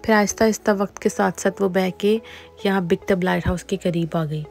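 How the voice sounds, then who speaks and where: A woman vlogger calmly narrating a boat tour, explaining the sights as she goes. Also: mid-range voice. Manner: calm explanatory voice-over, mixing in English phrases. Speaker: travel vlogger. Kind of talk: travel vlog narration. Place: outdoors on a tour boat on a lake.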